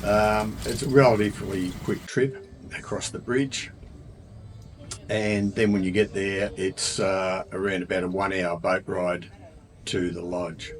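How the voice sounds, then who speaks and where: A man with an Australian accent talking casually about a trip, relaxed and chatty with many ums and uhs. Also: low voice, measured pace. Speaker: travel vlogger. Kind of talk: casual travel narration.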